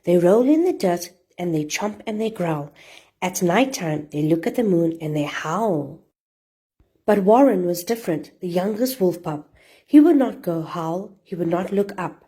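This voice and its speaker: Woman, children's storyteller, animated intonation, soft mellow tone, expressive rhyming narration. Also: fairly low pitch, measured pace, breathy edge.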